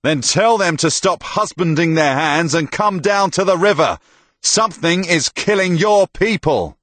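A man voicing a hot-tempered, foul-mouthed medieval fighting man in an audiobook, blunt, loud and defiant. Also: on the high side, animated, a little slow, dry recording, voice pushed up. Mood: angry.

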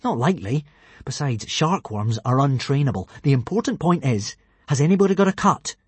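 Man doing a wry, husky young Viking boy. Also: medium pitch, expressive, brisk, no echo, voice pushed up. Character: young Viking boy hero, thoughtful, wry, level-headed. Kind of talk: audiobook character acting.

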